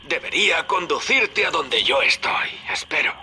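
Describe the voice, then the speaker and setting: Through a noisy radio comes a tormented man under mind control. His voice is strained, rather high and very sharp. He sounds resigned and apologetic, in dramatic game style. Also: expressive, moderate pace. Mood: anguished. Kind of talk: dramatic video game acting.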